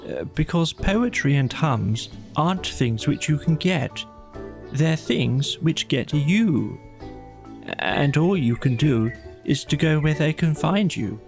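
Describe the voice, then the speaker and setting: A man voicing a gentle, thoughtful bear who fancies himself a poet, speaking hesitantly in a storybook audio play. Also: a little high, lively intonation, slow pace, creaky, faint music behind, voice pushed up, exaggerated voice. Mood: musing. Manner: hesitant, thoughtful, with small ums. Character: gentle, musing bear of little brain, amateur poet.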